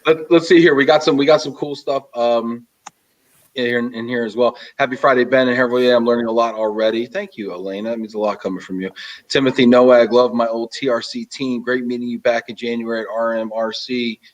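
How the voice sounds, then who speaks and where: A man hosting a casual interview, talking in an animated, emphatic way as he gives advice. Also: mid-range voice, a little fast, distant mic, muffled recording. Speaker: host. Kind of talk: casual interview and live chat.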